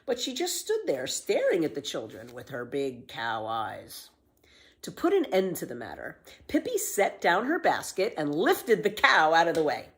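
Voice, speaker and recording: A woman reading a children's story aloud in an expressive, careful storytelling manner. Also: low-pitched, moderate pace.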